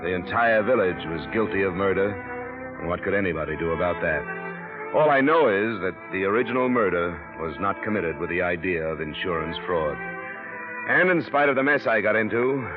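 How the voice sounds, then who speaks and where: An actor playing a cool, hardboiled insurance investigator who also narrates, in a clipped, matter-of-fact 1940s radio drama style. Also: male voice, lowish voice, natural voice. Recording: dull old radio broadcast with music behind.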